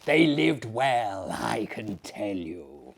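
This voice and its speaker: A man voicing a sly, boastful older gentleman in a dramatic literary story, with a theatrical, relishing, deliberate delivery. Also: on the low side, sing-song, creaky, slightly rough, pitched below the performer's own voice, heavily acted. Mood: gleeful. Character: sly, boastful older Frenchman running an asylum.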